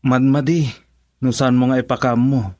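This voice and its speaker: A man doing an Ilocano friend, earnest, persuasive, mid-pitched, dry close sound. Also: flat delivery, normal speed, a little mellow, no room sound, close to an everyday voice. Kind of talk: radio drama acting.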